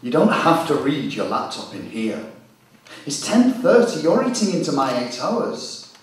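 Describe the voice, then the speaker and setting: Male actor, put-upon grumbling boyfriend, live drama; highish, animated voice, distant theatre sound. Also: a little slow. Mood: exasperated. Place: theatre stage, recorded from a distance. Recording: very echoey hall, distant microphone.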